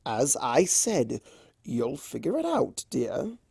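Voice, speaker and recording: A performer voicing a male chaos creature, a smug and theatrical trickster who teases in drawn-out phrases, for a story reading. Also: mid-range voice, huge pitch swings, creaky, dark tone, slightly rough, dry recording, clean studio sound, heavily acted. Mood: playful, smug. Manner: drawn-out, theatrical, teasing delivery.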